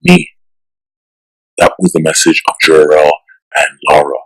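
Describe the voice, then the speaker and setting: A man's voice: extremely low pitch, sing-song, unhurried, exaggerated voice, poor audio. An actor playing an earnest, troubled superhero searching for his origins, in a dramatic motion comic style. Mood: brooding, awed. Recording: loud music behind the voice. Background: dramatic music.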